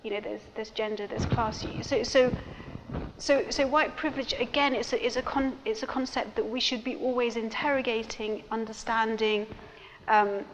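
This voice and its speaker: A woman's voice: on the high side, steady tone, moderate pace. A woman panelist speaking earnestly at a panel discussion, with many "you know" fillers. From of Pakistani heritage. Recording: dull hall recording with noise.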